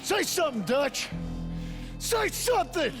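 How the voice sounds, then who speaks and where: An actor voicing an earnest, desperate Old West gunslinger in a video game, with an American accent and a strained, raspy, pleading delivery. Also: male voice, very high voice, big pitch swings, measured pace, full character voice. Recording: loud music under the voice.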